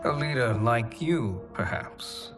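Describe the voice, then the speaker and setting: An actor playing a scheming, calculating sorcerer in a video game, speaking in a formal, measured, insinuating way. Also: male voice, medium pitch, animated. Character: scheming male sorcerer, smooth, calculating conspirator. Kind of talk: dramatic video game acting. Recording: dramatic music under the voice.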